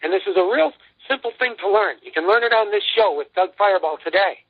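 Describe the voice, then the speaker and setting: A man giving an emphatic, boastful sales pitch as a guest in a radio interview, telling anecdotes as he goes. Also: expressive, fast. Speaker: interview guest, company founder and CEO. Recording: muffled lo-fi phone-like radio line.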